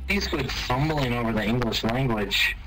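A man's voice: medium pitch, normal speed, sharp tone, soft background music, low-quality recording. A man teasing a friend with mock insults in casual gaming banter.

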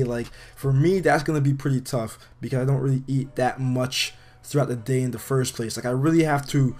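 A young man with a hoarse, fading voice chatting casually about a workout in a gym, rambling a bit and laughing now and then. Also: mid-range voice. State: hoarse, losing his voice. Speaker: fitness vlogger and trainer. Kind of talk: casual workout vlog talk. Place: gym, indoors.

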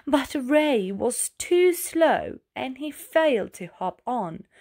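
A woman narrating a rhyming children's storybook aloud with dramatic, deliberate phrasing. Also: high-pitched, lively intonation, darkish tone.

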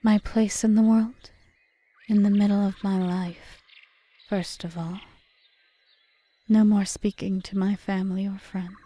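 Woman acting a soft, mellow forest witch. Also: medium pitch, lightly acted. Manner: measured, formal, often whispery delivery. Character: female yandere forest witch, calm, calculating, solemn. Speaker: audio roleplay performer. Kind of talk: ASMR roleplay monologue.

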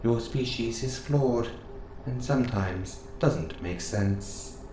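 A man playing a gentle, curious robot assistant who speaks in formal, precise phrases, close and intimate in an audio roleplay. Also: medium pitch, slow, natural voice. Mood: wistful. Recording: roomy sound with clear background noise.

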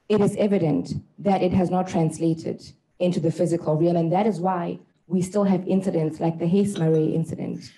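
A woman's voice: on the low side, mellow, poor audio. A woman in her thirties speaking earnestly and emphatically as a panelist, holding a handheld mic in an indoor conference room. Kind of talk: personal testimony at a panel.

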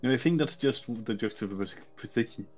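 A man's voice: mid-range voice, normal speed, a little mellow, faint music behind. A man co-hosting a casual game playthrough, joking crudely and laughing often. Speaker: let's play co-host. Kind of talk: casual commentary over a game.

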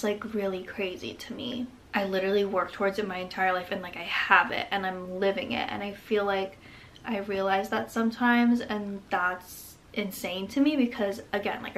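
A young woman in her twenties sits in her bedroom and talks straight to the camera in a loose, rambling way. She fills her thoughts with "like" and drops in soft laughs, her pitch sitting in an ordinary middle range. A faint hum of room noise sits underneath. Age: 20s. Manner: rambling, many "like" fillers, frequent laughs. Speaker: vlogger. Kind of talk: casual reflective talk to camera. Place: bedroom, indoors.

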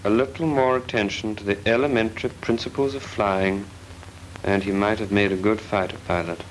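A man's voice: on the low side, fairly even intonation, moderate pace, slight background noise, low-quality recording. A man playing a chief flying instructor in a 1940s British film, speaking in a dry, clipped manner with a British accent.

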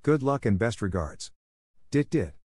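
A man giving a scripted instructional readout of radio exchanges in deliberate, clipped phrases, spelling out call signs. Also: mid-range voice, very animated, darkish tone, husky. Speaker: Morse code practice narrator.

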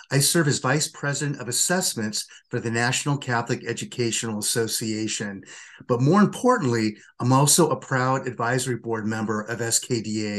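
An older man, a school board member, giving an earnest, upbeat prepared address from a home office over a webcam. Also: medium pitch.

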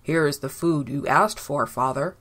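A woman's voice: low-pitched, normal speed, a bit bright, husky, pitched below the performer's own voice. A woman voicing a resentful, hot-tempered firstborn son in a children's Bible story, with blunt, brooding delivery. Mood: proud.

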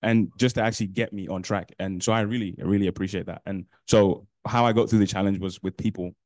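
A man's voice: lowish voice, steady tone, quick pace, darkish tone, dry recording. A young man speaking casually on a university panel, peppering his talk with "like". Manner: casual, lots of "like". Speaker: panelist, alumnus. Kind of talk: panel discussion. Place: university classroom, indoors.

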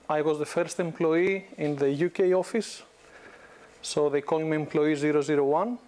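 A man in his thirties from Greece, giving a conversational guest lecture in a university classroom on a lapel mic, with many restarts. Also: a little high. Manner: relaxed, conversational, frequent restarts and fillers. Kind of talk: informal business lecture.

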